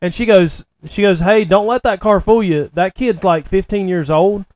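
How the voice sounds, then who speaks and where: A man's voice: sits high, moderate pace, darkish tone, no room sound. A man, a podcast co-host telling stories in a casual, folksy, emphatic way. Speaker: podcast co-host, racing personality. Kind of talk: casual chat, animated storytelling.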